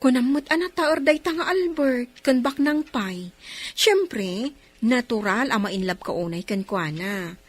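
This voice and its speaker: A woman's voice: fairly high pitch, animated, measured pace, no room sound. A woman playing a folksy, loyal household nanny in an Ilocano radio drama, exclaiming expressively with an Ilocano accent. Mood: teasing concern. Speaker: voice actor in radio comedy drama.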